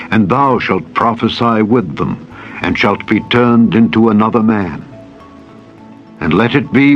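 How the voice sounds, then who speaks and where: Solemn prophet for dramatized scripture voiced by a male reader, low, measured and formal, faint music underneath. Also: natural voice. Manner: measured, archaic formal speech. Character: solemn male prophet instructing a newly anointed leader. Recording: dull radio-like sound, music behind.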